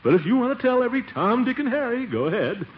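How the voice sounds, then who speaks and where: An actor playing a flustered, scheming middle-aged bridegroom-to-be in 1940s radio comedy, blustery, stammering and chuckling nervously. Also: male voice, extremely high pitch, very animated, quick pace. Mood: nervous coaxing. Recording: muffled, noisy old 1940s radio recording.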